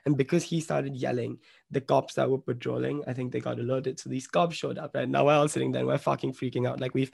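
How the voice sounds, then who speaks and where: A young man from Bombay tells a story casually, full of fillers and swearing. His voice has a gravelly fry and stays fairly level in pitch, and the close, dry sound has no room echo. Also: flat delivery, fast, a little mellow. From Bombay, India. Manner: rambling, swears a lot, many "like" fillers. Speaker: podcast guest.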